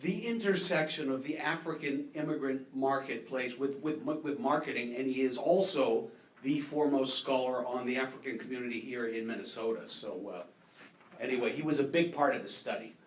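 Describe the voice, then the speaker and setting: A man's voice: mid-range voice, normal speed. A man with a New Hampshire accent giving a casual conference presentation on market research, chatty and self-deprecating with many fillers and repeats. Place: conference hall. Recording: echoey hall, distant mic.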